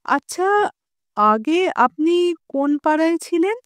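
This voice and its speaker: A woman voicing a calm, suave gentleman neighbour in an audio story, softly spoken and gently mocking. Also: very high voice, slow pace, no room sound, pitched above the performer's own voice, heavily acted. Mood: slyly amused. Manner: unruffled, soft, gently mocking.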